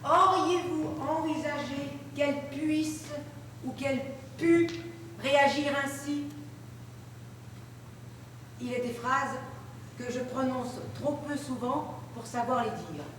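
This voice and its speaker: A woman on stage reading grammar examples and old texts aloud in a precise, deliberate way, in a live performance. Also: sits high, a little hiss or hum. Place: large hall, live performance. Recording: echoey hall from far off.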